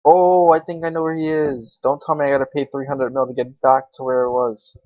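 A young man talks through a video game in a loose, slangy ramble, swearing casually as he thinks out loud. His voice sits at an average male pitch. The sound is dry and close but dull and lo-fi, like a basic computer microphone. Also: level tone, brisk, no echo. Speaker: gaming video creator. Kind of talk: casual unscripted game commentary.

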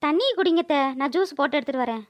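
A woman voicing a gentle, earnest young daughter-in-law in a cartoon. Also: high-pitched, animated, racing, no room sound, dull audio. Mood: caring. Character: dutiful, soft-hearted young daughter-in-law. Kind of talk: cartoon voice-over dialogue.

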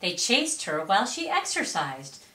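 A middle-aged woman reading a children's storybook expressively, with deliberate, theatrical emphasis in a roomy space. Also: medium pitch, very animated, pushed and bright, a little hiss or hum. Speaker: storytime reader. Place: indoors, curtained room or small stage. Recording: roomy echo on voice.

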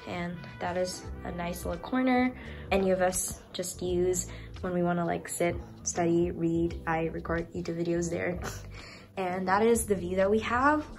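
A woman's voice: on the low side, steady tone, moderate pace, soft background music. A young woman from Indonesia chatting casually and with youthful, bubbly informality as she films a room-tour vlog. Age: young adult. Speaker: vlogger. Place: small furnished dorm rooms and bathroom.

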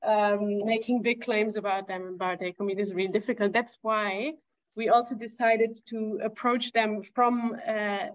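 Even-toned woman, native German speaker, muffled. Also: medium pitch, normal speed. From Germany or German-speaking Europe. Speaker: academic researcher, lecture presenter. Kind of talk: informal scholarly discussion. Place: indoor room on video call. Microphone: video call. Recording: slightly dull video-call audio.

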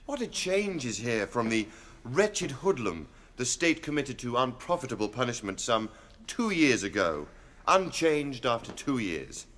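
An actor playing a pompous, self-satisfied government official giving a theatrical speech. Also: male voice, medium pitch, sing-song, a little slow, fairly bright tone. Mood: grandiose, scornful. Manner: oratorical, pompous. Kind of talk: theatrical public address.

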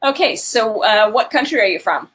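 A woman's voice: a little high, lively intonation, normal speed, a bit bright. Earnest woman giving advice on a call. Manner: earnest, direct advising. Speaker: financial aid advisor. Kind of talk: webinar Q&A advice. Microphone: video call.

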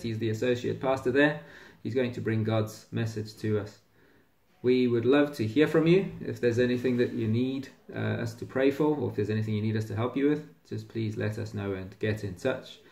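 A man's voice: medium pitch, vocal fry, darkish tone. A man in his forties with a British accent, giving an informal welcome and announcements as a church service host. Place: small room at home. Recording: roomy indoor echo.

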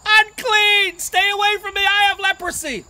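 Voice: medium pitch, very animated, measured pace, sharp tone, exaggerated voice. A storyteller voicing an earnest outcast man with pleading, dramatic delivery in a tale for children. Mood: urgent warning. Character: earnest afflicted outcast man, anguished but hopeful. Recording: outdoor recording with insect noise.

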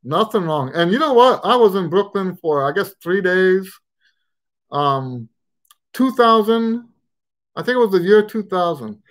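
A man's voice: high voice, expressive, a little slow, fairly bright tone. Rambling bearded man on a webcam. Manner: rambling, frequent restarts and stammered repeats. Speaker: trumpet teacher hosting a live Q&A. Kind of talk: casual live-stream Q&A. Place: living room at home, indoors. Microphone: webcam at desk distance.

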